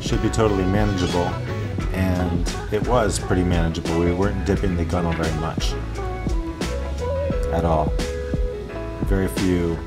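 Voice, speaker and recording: A man narrating his own sailing trip in a relaxed, self-deprecating way, with an American accent. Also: deep voice, moderate pace, pauses often, over loud music. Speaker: sailing vlogger.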